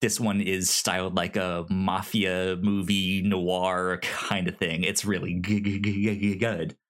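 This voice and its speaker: A man's voice: sits low, little pitch movement, measured pace, fairly bright tone. A man chatting casually and enthusiastically about TV as a podcast host, often stammering and repeating sounds. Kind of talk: casual chat about TV shows.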